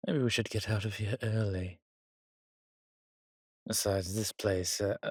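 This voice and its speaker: Man voicing a husky, courtly vampire seducer. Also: lowish voice, a little mellow, no echo, studio quality. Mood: suave, teasing. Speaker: voice actor in an audio drama. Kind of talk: intimate romantic audio roleplay addressed to the listener.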